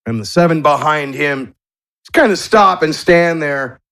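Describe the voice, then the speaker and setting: A man narrating a tabletop game as game master, in halting, descriptive storytelling. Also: fairly high pitch, fairly bright tone. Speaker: game master and show host.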